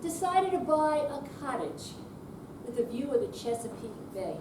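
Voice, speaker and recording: A woman performing a readers theater piece, delivering short narrative phrases in a measured way with pauses. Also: high-pitched, very animated. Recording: roomy hall, distant mic, background noise.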